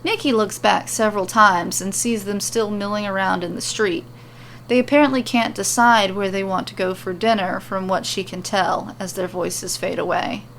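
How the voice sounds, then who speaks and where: A woman's voice: mid-range voice, fairly even intonation, normal speed, a bit bright. A woman narrating a serial fan-fiction story as its host. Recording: clearly noisy recording.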